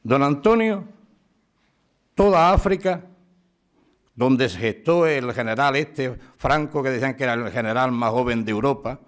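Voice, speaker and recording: A man giving a lively, informal talk at a town tribute, acting out dialogue as he tells an anecdote. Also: mid-range voice, unhurried, long pauses. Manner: chatty storyteller, acts out dialogue. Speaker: speaker giving biographical sketch. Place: town hall event room.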